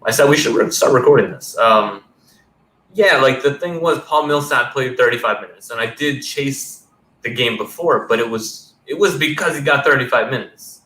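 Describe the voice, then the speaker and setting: Rambling thirtysomething podcast host, roomy sound. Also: male voice, medium pitch, moderate pace, sharp tone. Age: 30s. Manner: loose, rambling, swears casually. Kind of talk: casual sports podcast chat. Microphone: headset on a video call. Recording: roomy sound with faint background noise.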